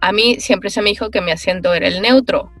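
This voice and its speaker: A woman, a voice actress answering interview questions on a video call, thoughtful and animated, with many pauses and fillers. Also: medium pitch, dry recording, faint music behind. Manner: animated, gestures while talking. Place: small room with foam panels.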